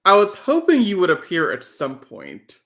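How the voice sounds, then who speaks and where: A man's voice: sits high, wildly swooping pitch, normal speed, a bit bright. A man speaking as a podcast host in casual, conversational chat.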